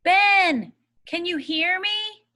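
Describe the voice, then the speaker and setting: An anxious but determined boy, voiced by a woman reading a novel aloud. His lines come urgent and raised in pitch, as if pushing on through worry. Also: lively intonation, extremely slow, bright, forceful tone, full character voice. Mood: desperate hollering. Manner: urgent, hollering at times.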